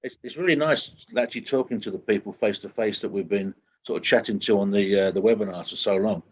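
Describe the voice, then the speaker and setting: A man's voice: lowish voice, quick pace, husky, low-quality recording. A man co-hosting a webinar, friendly and a bit unsure with names. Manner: friendly, stumbles over names. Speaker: co-host. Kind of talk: casual hosting.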